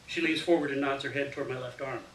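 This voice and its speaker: A man reads a first-person literary story aloud as an expressive storyteller, performing the dialogue within the narration. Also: medium pitch, fast. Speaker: story reader. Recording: roomy, distant mic, faint hiss.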